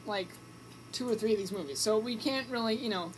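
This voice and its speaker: A man's voice: high voice, brisk, over a noisy crowd, rough recording. A young man co-hosting a radio show in a casual chat, often hesitant and trailing off mid-thought. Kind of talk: casual movie review chat. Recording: amateur recording with background noise.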